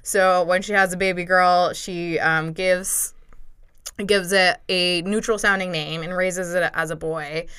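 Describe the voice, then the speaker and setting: A young woman with a lowish, smooth, resonant voice talks through a topic in relaxed podcast chat. She strings her thoughts together with plenty of "like" and laughs easily. The sound is close and dry. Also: measured pace, no echo. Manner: chatty, lots of "like" fillers, laughs often. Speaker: podcast co-host presenting a topic. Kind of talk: casual chat.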